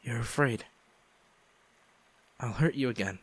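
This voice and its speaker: A man acting a guilt-ridden ex-boyfriend pleading for forgiveness in an intimate audio roleplay, halting and emotional. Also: mid-range voice, breathy edge, dry recording, poor audio. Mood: tentative, subdued. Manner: halting, emotional, sighing, repeats himself.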